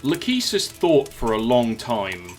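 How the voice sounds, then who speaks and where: A man's voice: medium pitch, big pitch swings, slow, soft background music. A man narrating a scripted fantasy story in the present tense.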